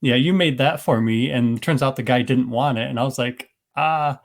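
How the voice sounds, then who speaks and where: A man's voice: mid-range voice, moderate pace. Easygoing male podcast host. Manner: friendly, rambling with restarts. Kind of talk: casual interview chat.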